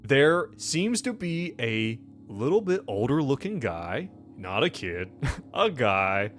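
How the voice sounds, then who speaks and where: Male game master, mid-pitched, loosely improvising. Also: sing-song, soft background music. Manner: loose, halting, conversational. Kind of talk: improvised tabletop game narration.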